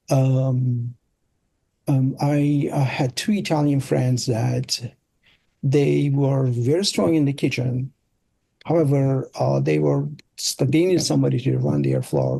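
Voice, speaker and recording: Gravelly middle-aged Turkish-accented man. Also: mid-range voice, warm tone, no echo, poor audio. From Turkey. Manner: halting, searching for words. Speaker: interview guest, product designer. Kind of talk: casual conversational storytelling.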